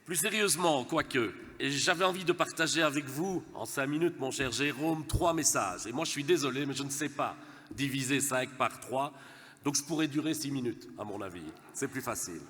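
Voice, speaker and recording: A man's voice: medium pitch, normal speed, a bit bright, big-room sound. A man from Verviers with a Belgian French accent, nasal from a cold, giving a formal conference speech with light humour and frequent stammered restarts. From Verviers, eastern Wallonia, Belgium. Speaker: speaker from a gas and electricity network company.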